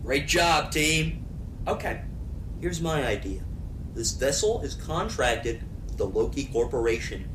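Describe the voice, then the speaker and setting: A man's voice: very animated, a little slow. A man playing a brash, reckless leader of a space crew, blunt and commanding, in an amateur sci-fi comedy audio drama. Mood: scheming, cajoling. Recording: echoey room, clear rumbling background noise.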